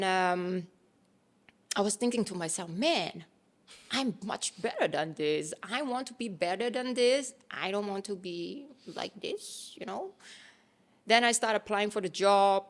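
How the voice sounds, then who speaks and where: A woman in her thirties from Thailand, chatting casually as an interview guest, with relaxed phrasing full of fillers and restarts. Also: mid-range voice.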